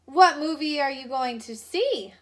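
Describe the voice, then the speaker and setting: A woman's voice: high-pitched, expressive, a little slow, pitched above the performer's own voice. A woman voicing a dreamy, romantic older woman in a storybook read-aloud, with a wistful, pleading manner.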